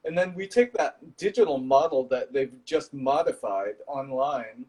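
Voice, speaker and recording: Measured man from Indonesia explaining. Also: mid-range voice, expressive, darkish tone, poor audio. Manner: measured, explanatory, some fillers. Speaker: startup founder. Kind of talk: guest explaining his business.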